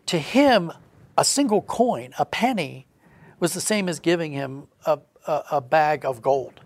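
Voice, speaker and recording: A man's voice: on the high side, lively intonation, measured pace. A man talking earnestly and reflectively in a conversational religious podcast, often rephrasing a point for emphasis. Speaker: audio drama writer discussing his work.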